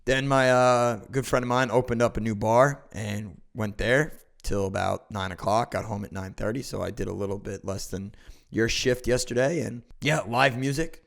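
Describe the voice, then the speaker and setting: A man co-hosting a fantasy football podcast, his voice a little raspy from singing the night before. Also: lowish voice, moderate pace. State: hungover. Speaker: podcast co-host. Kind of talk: casual fantasy football chat.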